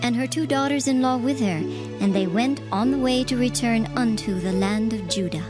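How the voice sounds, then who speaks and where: A woman narrator reading scripture as a scripted dramatized story, in a measured, solemn storytelling manner. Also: fairly high pitch. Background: music.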